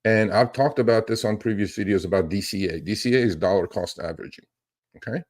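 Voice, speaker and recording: This is a man with a deep, low-set voice, speaking as the host of a casual live stream. He talks without a script in a rambling but emphatic way, like a trader teaching his audience. He regularly tags his points with little check-ins to make sure people follow. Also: quick pace, a little mellow. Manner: rambling, emphatic, often checks with "okay?" and "right?". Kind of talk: casual unscripted livestream talk.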